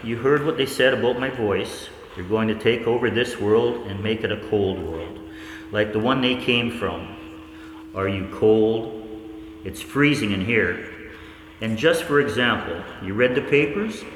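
A man's voice: lowish voice, a little slow, natural voice. A man acting a shaken researcher who broods and questions as he recounts an eerie disaster in a 1940s radio play. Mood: urgent, wondering. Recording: echoey hall with hiss.

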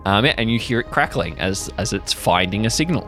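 A man's voice: lowish voice, expressive, fairly quick, fairly bright tone, soft background music. A man narrating an improvised audio drama in a casual, descriptive way, making it up as he goes. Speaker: game-master style narrator.

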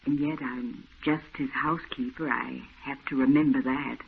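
Older housekeeper, secretive, hushed and pleading, old-time radio drama, tinny. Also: female voice, very deep voice, steady tone, normal speed, vocal fry, husky, exaggerated voice. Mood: worried. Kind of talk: 1940s radio acting. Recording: tinny old radio broadcast with faint hiss.

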